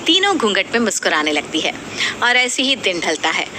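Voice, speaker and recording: A woman's voice: medium pitch, sing-song, fairly quick. A woman reading a scripted moral story as the storyteller. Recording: clearly noisy background ambience.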